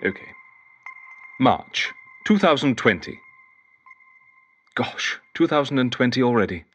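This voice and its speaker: A man's voice: medium pitch, animated, a little slow, dull audio. An actor playing a lonely, eccentric male detective who rambles fussily and whimsically into an audio diary in an audio drama. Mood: musing. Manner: fussy, whimsical, wistful, digressive. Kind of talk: audio drama monologue as spoken journal.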